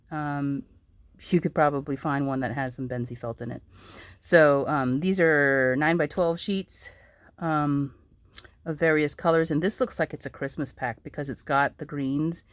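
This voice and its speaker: Hoarse, low-voiced woman crafter chatting. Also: no echo. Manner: chatty, rambling, many ums and restarts. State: hoarse with a sore throat. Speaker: craft vlogger. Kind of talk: casual chat showing crafts.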